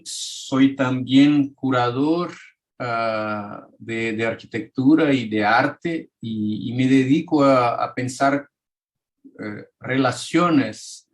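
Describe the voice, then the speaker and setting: A man with a Brazilian Portuguese accent giving an academic talk in a second language, hesitant, with many restarts and fillers, speaking over a video call. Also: mid-range voice. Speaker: architect and author presenting his book.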